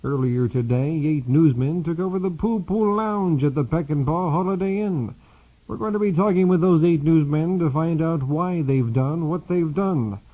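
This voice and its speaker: An actor playing a solemn, self-important news anchor in a satirical radio newscast. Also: male voice, fairly high pitch, dark tone, muffled recording, close to an everyday voice. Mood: solemn, earnest. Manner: solemn, measured. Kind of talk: mock news broadcast narration.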